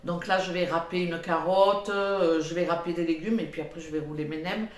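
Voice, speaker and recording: An older woman with a head cold, chatting casually in a cooking vlog, rambling with many pauses and fillers. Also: lowish voice, steady tone, echoey room. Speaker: cooking vlogger.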